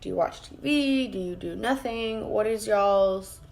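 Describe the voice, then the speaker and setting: A woman with a raspy voice, chatting casually with her viewers while she does her makeup at her vanity at home. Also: mid-range voice, a little slow, darkish tone. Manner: chatty, greets viewers by name and rambles while working. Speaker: makeup vlogger. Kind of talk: casual live-streamed makeup tutorial. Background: faint background music.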